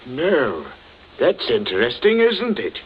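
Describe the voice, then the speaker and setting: An actor playing a dry, watchful police constable in an old radio suspense play, understated and questioning. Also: male voice, mid-range voice, wildly swooping pitch, slow, slightly rough, heavily acted. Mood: skeptical. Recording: muffled, hissy old radio recording.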